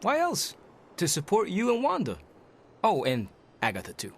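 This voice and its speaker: Loyal young game hero, friendly, awkward, extremely varied pitch, casual phrasing. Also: male voice, huge pitch swings, normal speed, full character voice. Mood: friendly, earnest. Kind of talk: video game voice acting.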